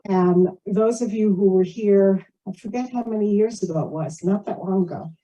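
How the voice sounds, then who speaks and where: A woman's voice: mid-range voice, moderate pace, dark tone, highs cut off. A woman giving an informal report at a community meeting, plain-spoken and rambling, often drifting into asides. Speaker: board member giving a financial report. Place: community meeting shared over video call.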